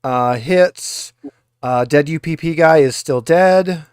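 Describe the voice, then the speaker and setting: A man's voice: a little high, slow pace, smooth, no echo, clean studio sound. A man running a tabletop roleplaying game as game master, explaining rules and guiding players step by step.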